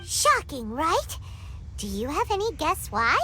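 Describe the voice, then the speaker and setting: A woman voicing a tiny, excitable female fairy companion in a game cutscene, telling a story in a playful, animated way. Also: a little slow. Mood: storytelling. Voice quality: high squeaky childlike voice. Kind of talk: video game cutscene dialogue. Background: loud game music.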